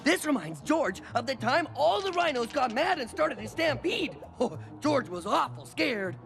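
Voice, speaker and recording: Very high-pitched, dim, eager jungle man. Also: sing-song, normal speed, gravelly, loud music behind the voice, heavily acted. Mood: earnest. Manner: speaks of himself in third person. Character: dim-witted, eager young jungle man, devoted superhero fan.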